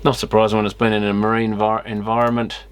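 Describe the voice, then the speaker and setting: Male, lowish voice, casual hands-on vlogger muttering as he tinkers; noisy camera-mic audio with clicks. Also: level tone, fairly quick. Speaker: electronics repair vlogger. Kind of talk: casual off-the-cuff commentary while inspecting gear. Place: electronics repair workshop.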